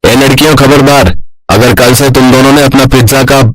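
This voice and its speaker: A man's voice: medium pitch, fairly even intonation, normal speed, a bit bright, no room sound, natural voice, low-quality recording. A man playing an arrogant, jealous, bullying male pizza vendor in a cartoon story. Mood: angry, threatening. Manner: scornful, bullying. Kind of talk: Hindi cartoon story acting.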